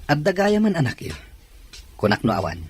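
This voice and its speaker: A man's voice: a little high, huge pitch swings, fairly quick, slight background noise. A man playing an easygoing, curious visiting friend in an Ilocano audio drama. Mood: casual, curious. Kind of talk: Ilocano radio-style drama acting.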